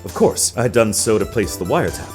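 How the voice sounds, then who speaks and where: An actor playing a smug, affected detective agency boss on the witness stand in a video game drama, pompous and flowery. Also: male voice, on the low side, expressive, quick pace, music in the background, lightly acted. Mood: self-assured. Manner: pompous, flowery, self-satisfied.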